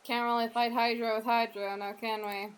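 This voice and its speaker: Blunt, higher-voiced woman gaming. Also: flat delivery, normal speed, heavy background noise. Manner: blunt, sweary. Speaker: game streamer. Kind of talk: casual gaming chat. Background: game music.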